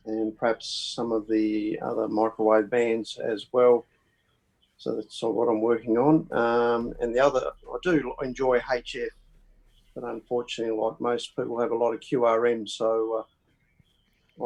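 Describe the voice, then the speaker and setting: A man's voice: mid-range voice, a little mellow, rough recording. An Australian man introducing himself to a ham radio net from a tablet on a video call, friendly and hesitant, with lots of filler words.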